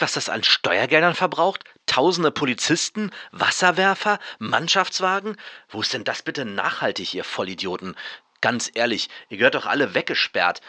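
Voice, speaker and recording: Audio drama: scolding, indignant male citizen, hectoring, piercing mid-pitched delivery. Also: pushed and bright, dry recording. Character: outraged, scolding male taxpayer attacking climate activists.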